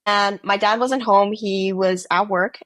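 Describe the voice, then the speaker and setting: Teen girl guest, lively storyteller, mid-pitch, dry close sound. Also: measured pace, no echo. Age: teens. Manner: animated, storytelling with quoted voices. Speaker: exchange student guest. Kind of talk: casual interview conversation.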